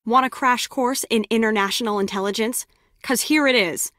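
A woman's voice: on the high side, moderate pace, a bit bright, no echo, clean studio sound, close to an everyday voice. An actress playing a brusque, sarcastic lone-wolf female spy in a video game, with a curt, biting delivery. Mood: serious, urgent. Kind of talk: scripted game character dialogue.

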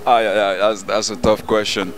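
Laid-back West African man, low voice. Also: moderate pace. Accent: French-speaking West African. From Ivory Coast. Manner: relaxed, searching for words with many uh's and repeats. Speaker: DJ and event organizer. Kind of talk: casual interview answers. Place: outdoor rooftop terrace above a busy street. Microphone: handheld interview mic held by the interviewer. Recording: faint traffic noise outdoors.

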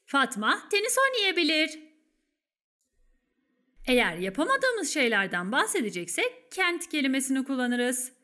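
A woman's voice: high-pitched, sharp tone. A Turkish woman narrating a scripted English lesson as a teacher, with careful, instructive enunciation.

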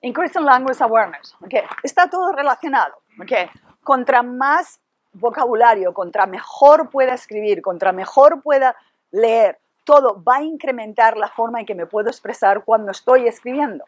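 A middle-aged woman presenting a lecture in a classroom, animated, asking the room rhetorical questions and tossing in "ok" and "right" as fillers. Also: on the high side, normal speed, dry recording. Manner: animated, gestures a lot, sprinkles English fillers like "ok" and "right"; asks the audience rhetorical questions. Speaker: workshop presenter. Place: classroom with projection screen. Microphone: room camera at a distance.

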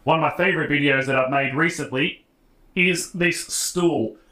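A man's voice: a little high, moderate pace, bright, forceful tone. A man in his thirties with an Australian accent, talking casually to camera as a hobby woodworking creator in his garage workshop. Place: home garage workshop, indoors. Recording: roomy garage echo.

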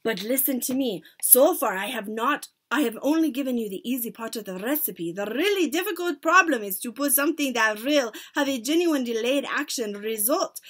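A woman plays a bossy, menacing storybook witch queen. Her voice is high and swings widely as she barks out commands with scorn and stilted phrasing. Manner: commanding, shouted declarations in stilted foreign-sounding grammar.

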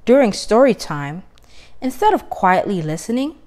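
A woman reading a children's story aloud with expressive, deliberate, emphatic phrasing. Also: medium pitch.

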